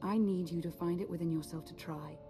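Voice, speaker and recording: A woman playing a composed, earnest resistance leader in a video game, with a calm, authoritative manner. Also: on the low side, fairly quick, close to an everyday voice. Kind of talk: video game dialogue acting. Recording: music under the dialogue.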